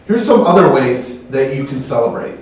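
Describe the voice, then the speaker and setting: A man's voice: mid-range voice, animated, moderate pace. A man from Texas co-hosting a school morning broadcast, reading in a friendly, plain way. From Texas, United States. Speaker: teacher co-hosting school announcements. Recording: echoey room, distant mic.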